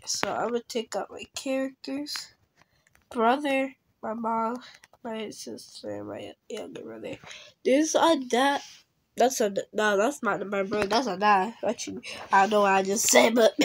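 A young girl chatting casually while playing a game, rambling and restarting, sometimes dropping to a whisper. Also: fairly high pitch. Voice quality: rambling, often trails into a whisper. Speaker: game video creator. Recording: noisy phone recording with clicks.

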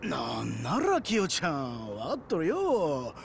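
An actor playing an easygoing, teasing baseball captain in a video game, folksy and drawling with a Hiroshima Japanese accent. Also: male voice, on the high side, wildly swooping pitch, slow pace, gravelly, slight background noise, full character voice. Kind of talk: video game cutscene acting.

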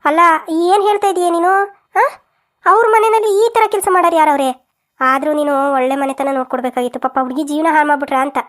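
A woman's voice: high voice, steady tone, fairly quick, lightly acted. A woman voicing a frank, protective older village aunt in a cartoon family drama, emphatic and colloquial, with a rural southern Karnataka accent. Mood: indignant.

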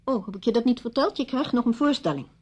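Casting: despairing widow, sardonic and cold, high husky voice, very varied intonation, radio play. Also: female voice, expressive, fast, a little mellow. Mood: cold, taunting. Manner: sardonic, biting. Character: bitter, despairing widow coldly holding her visitor captive. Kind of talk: Dutch radio play acting.

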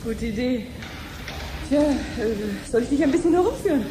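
An actress playing an obliging clinic researcher in a 1970s radio play, explaining things patiently and conversationally. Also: female voice, high voice, animated, normal speed, noisy background. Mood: friendly, chatty. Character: chatty, obliging female clinic researcher showing her sister around.

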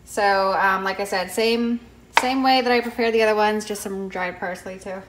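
A young woman casually narrating her meal prep in a home kitchen, talking in a relaxed way with lots of ums and restarts. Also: medium pitch, normal speed, over loud music, rough recording. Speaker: cooking vlogger.

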